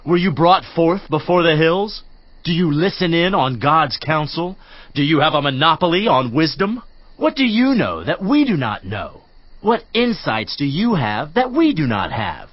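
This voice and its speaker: Played by a man, a stern friend scolds with pointed rhetorical questions in a reproachful, lecturing voice. The voice is a little high and very lively in pitch. The sound is dry and somewhat dull. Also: no room sound. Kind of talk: dramatized scripture reading. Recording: dry, slightly dull studio audio.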